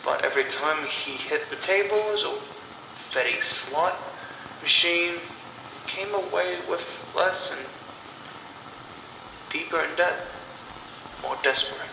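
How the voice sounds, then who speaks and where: A man narrating a scripted horror story in a dramatic, deliberate way, with pauses between phrases. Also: fairly high pitch. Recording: echoey, long reverb, clear background noise.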